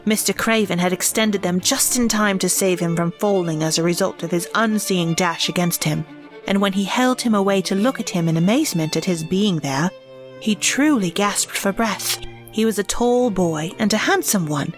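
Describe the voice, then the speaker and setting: A woman narrating an audio drama in a measured, expressive way. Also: medium pitch, soft background music.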